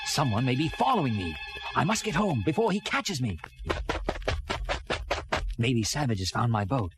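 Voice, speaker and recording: Male, actor as earnest castaway adventurer narrating a children's story record, very expressive mid-range voice, music underneath. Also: medium pitch, normal speed, natural voice. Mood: alarmed, excited. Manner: animated, theatrical delivery. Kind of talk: dramatized children's read-along storytelling. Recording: vintage record with music behind.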